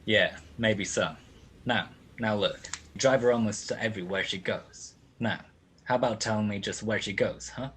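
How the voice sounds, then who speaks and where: A man's voice: fairly low pitch, moderate pace, fairly bright tone, a little hiss or hum, lightly acted. A man playing a wry, laconic 1940s private detective in a radio play, both narrating and in dialogue. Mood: businesslike. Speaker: lead actor and narrator. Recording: music behind the voice, home-recorded radio play. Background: music.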